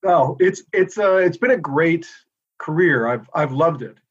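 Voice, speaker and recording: A middle-aged American man speaking as a guest in a casual interview, relaxed and thoughtful with frequent pauses. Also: a little high, breathy edge, muffled recording. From United States. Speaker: inventor and guest.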